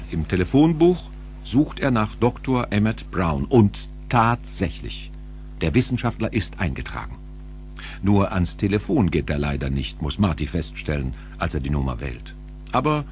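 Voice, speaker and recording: A man's voice: fairly low pitch, big pitch swings, moderate pace, a little mellow, slight background noise. A man narrating an audio drama in a dramatic, scripted style.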